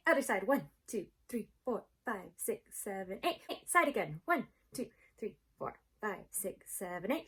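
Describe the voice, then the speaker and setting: A woman's voice: medium pitch, fairly bright tone. A woman teaching a third-grade class in a recorded lesson, casual and encouraging, checking in with her pupils as if they could answer. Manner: casual, checks in often with okay. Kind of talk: recorded video lesson for children.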